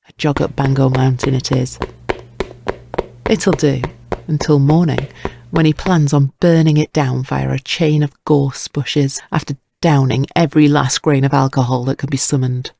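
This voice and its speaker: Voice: medium pitch, fairly even intonation, moderate pace, vocal fry, noisy background. A narrator reading scripted dramatic prose for an audio drama.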